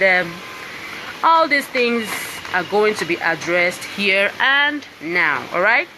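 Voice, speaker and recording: A woman's voice: medium pitch, background noise. Nigerian woman chatting on a live stream. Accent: Nigerian English. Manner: chatty, keeps checking in with "all right?" and "you understand?". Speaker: singer and voice coach presenting her book. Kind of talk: live-stream talk to viewers. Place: indoors with piano and laptop.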